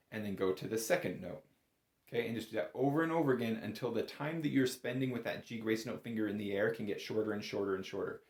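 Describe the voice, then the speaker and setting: A man giving an informal, step-by-step music lesson in a patient, encouraging manner. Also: mid-range voice. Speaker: bagpipe instructor. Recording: roomy, echoey indoor sound.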